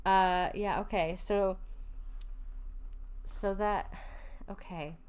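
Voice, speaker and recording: A woman's voice: mid-range voice, fairly even intonation, very slow, clean tone. A woman livestreamer giving casual reactions to a story game in an informal, playful, chatty way. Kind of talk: casual reaction commentary and chat.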